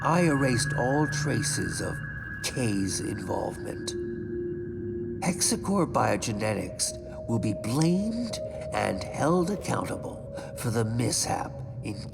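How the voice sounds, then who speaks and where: A low, gravelly covert operative in a game cutscene, cold and grim. He dictates his report in terse, deliberate phrases, slowly, with loud music playing over him. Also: big pitch swings, heavily acted. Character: cold, weary male covert agent dictating a mission report. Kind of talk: video game cutscene acting.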